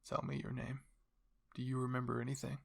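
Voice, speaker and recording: An older noble father in an anime roleplay, acted by a man. His voice is creaky and rough, at a middling pitch. He speaks with measured, commanding calm, as one used to explaining and being obeyed.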